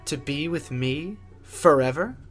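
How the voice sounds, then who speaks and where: A man voicing a tender, seductive ghostly wife, coaxing softly in a horror audio drama. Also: fairly high pitch, animated, a little slow, faint music behind. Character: dead wife's ghostly apparition, tender and seductive woman.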